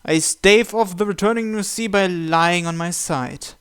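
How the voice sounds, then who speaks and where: A man's voice: high-pitched, unhurried, a bit bright, smooth. A man hosting a visual novel playthrough, reading the first-person narration aloud.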